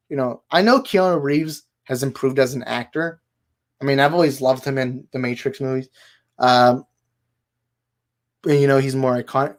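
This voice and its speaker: A man hosting a casual live movie chat, his voice hoarse and worn out, rambling and correcting himself as he goes. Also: mid-range voice. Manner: rambling, self-correcting, laughs easily. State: hoarse, tired voice. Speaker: livestream host.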